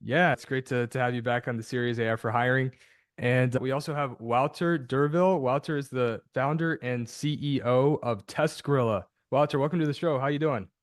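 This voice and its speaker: A man's voice: mid-range voice, fairly even intonation, brisk, no echo, clean studio sound. A man hosting an interview podcast, upbeat and chatty, restarting his sentences often. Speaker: podcast host.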